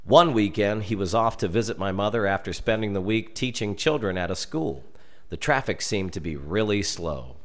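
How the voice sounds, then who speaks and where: An American man reading a darkly humorous story aloud in an expressive, measured and dramatic storytelling manner. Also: on the low side. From United States. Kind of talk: expressive scripted narration of a humorous dark tale.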